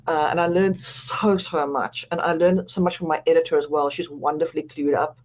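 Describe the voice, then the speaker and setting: A woman's voice: low voice, fairly even intonation, fairly quick, a little mellow, a little hiss or hum, poor audio. A woman with a South African accent, speaking as an author guest on a radio interview, chatty and self-deprecating, laughing often.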